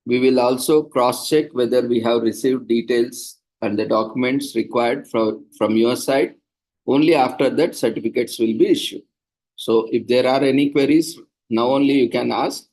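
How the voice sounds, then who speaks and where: A man's voice: medium pitch, darkish tone, poor audio. A man organizing a seminar, patiently explaining procedures to participants over a video call. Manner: patient, instructive, plain and practical.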